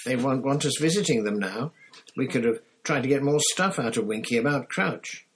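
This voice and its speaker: A man's voice: medium pitch, expressive, moderate pace, slight background noise, pitched above the performer's own voice, close to an everyday voice. A man voicing a grumpy, blunt teenage schoolboy. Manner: grumbling, blunt.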